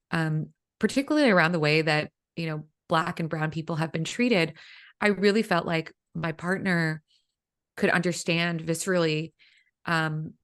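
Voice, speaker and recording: A woman in her thirties whose first language is Vietnamese, a guest in a casual interview, talking chattily with many fillers. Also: low voice. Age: 30s. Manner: chatty, frequent fillers like um and you know. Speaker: guest, executive coach.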